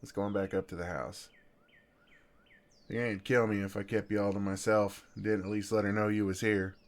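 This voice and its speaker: A man with a Southern US accent playing a gentle, flirtatious farmhand in an intimate ASMR roleplay, with an easygoing, folksy, soothing drawl. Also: fairly low pitch, little pitch movement, normal speed, close to an everyday voice. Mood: flirtatious, soft, then wry, warm.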